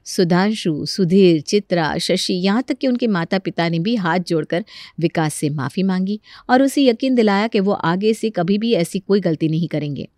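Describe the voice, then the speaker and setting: A woman's voice: on the low side, lively intonation, moderate pace, darkish tone, dry recording, studio quality. A woman reading scripted narration for a story as its narrator.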